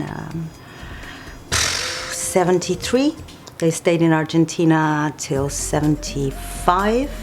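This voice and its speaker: Low gravelly-voiced middle-aged woman. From Chile. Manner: reflective, unhurried, frequent ums, light laughs. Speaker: artist interviewee. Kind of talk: documentary interview, personal recollection.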